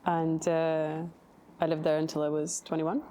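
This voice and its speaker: A woman from Norway, an artist, calmly answering interview questions about her work. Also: low voice, a little slow, a little hiss or hum.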